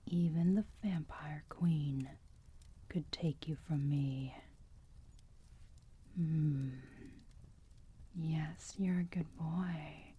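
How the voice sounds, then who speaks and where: A woman playing a gentle, protective, motherly vampire in a whispered ASMR roleplay, speaking softly and soothingly in a breathy voice close to the mic. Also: on the low side, exaggerated voice. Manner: soft, slow, cooing, soothing.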